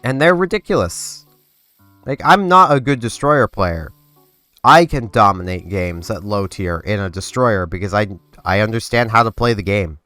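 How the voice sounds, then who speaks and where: A man's voice: on the low side, lively intonation, normal speed, sharp tone, clear, resonant tone, no echo. A congested young man hosting a gaming channel, rambling casually over gameplay with lots of fillers and restarts. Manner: rambling, filler-heavy, self-interrupting. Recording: dry close recording with faint game music.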